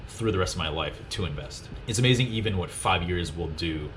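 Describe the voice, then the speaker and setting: A man's voice: low voice, expressive, brisk, noisy background. A man in his twenties hosting a personal finance video, explaining tips to viewers in a casual, instructive, emphatic way. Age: 20s. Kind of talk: explanatory list-style talk to viewers.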